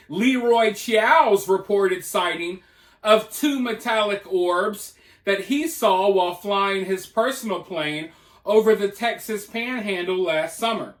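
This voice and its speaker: A man's voice: sits high, a bit bright. A man narrating a scripted news report in a roomy, echoing recording.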